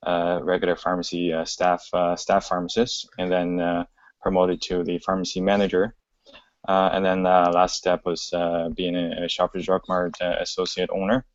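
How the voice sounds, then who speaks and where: A man in his thirties from China, a pharmacist introducing himself on a video call, halting with frequent fillers. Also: deep voice. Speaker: panelist, pharmacist. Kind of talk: casual self-introduction. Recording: dry, dull lo-fi call audio.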